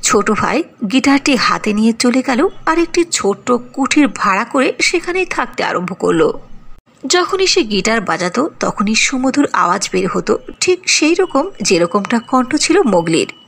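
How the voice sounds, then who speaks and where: A woman's voice: a little high, very animated, normal speed, creaky, fairly bright tone, husky. A woman narrating a cartoon fairy tale in a sing-song storytelling manner.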